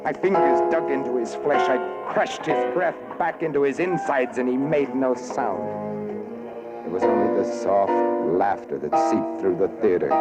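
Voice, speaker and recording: An actor playing a haunted, wary murderer, narrating in brooding, dramatic 1940s radio noir style. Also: male voice, lowish voice. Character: haunted, wary male murderer narrating his own crime. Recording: dull old radio broadcast. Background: dramatic music.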